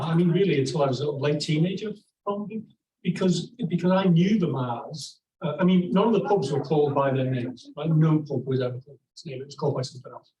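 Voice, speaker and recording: A man, asking a long question at an academic talk, halting with many restarts. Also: fairly high pitch, warm tone. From England. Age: 60s. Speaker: audience member asking a question. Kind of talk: academic discussion. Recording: echoey room from far off, faint noise.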